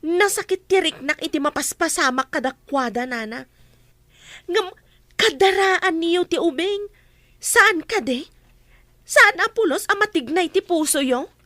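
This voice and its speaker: A woman's voice: very high-pitched, lively intonation, unhurried, fairly bright tone, no echo, full character voice. A woman acting an outspoken, sympathetic neighbour in an Ilocano radio drama, earnest and reproachful, with an Ilocano accent.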